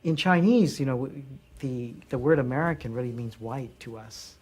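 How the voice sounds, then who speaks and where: Husky-voiced man leading a group talk. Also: mid-range voice, moderate pace, darkish tone. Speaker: discussion facilitator. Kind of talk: group discussion.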